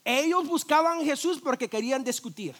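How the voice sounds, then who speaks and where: A man, a pastor, preaching a sermon in a lively, conversational way, full of rhetorical questions, jokes and quick restarts. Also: very high voice. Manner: frequent restarts and repeated words.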